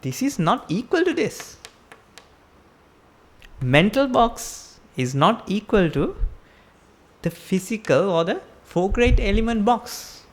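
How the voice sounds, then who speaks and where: A woman's voice: medium pitch, very animated, takes it slowly, long pauses. A woman with a Sri Lankan accent giving a Buddhist sermon, explaining step by step and asking her listeners rhetorical questions. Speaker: Buddhist teacher.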